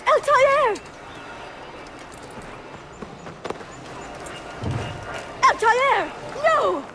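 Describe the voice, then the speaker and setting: A woman's voice: very high voice, expressive, takes it slowly, heavily acted. An actress playing a principled, loyal wife and mother in a dramatic video game, earnest in delivery. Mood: alarmed.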